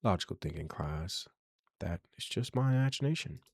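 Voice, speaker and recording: A man narrating a horror game playthrough in a hushed, slow, deliberate reading style. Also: low-pitched, animated, vocal fry, breathy edge, no echo, studio quality. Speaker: game streamer, channel host.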